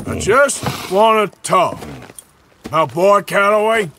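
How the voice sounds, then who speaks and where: An actor playing a tough, blunt cowboy outlaw in a Western video game, with an American drawl. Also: male voice, high voice, lively intonation, takes it slowly, pushed and bright, heavily acted. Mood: alarmed. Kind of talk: video game cutscene acting.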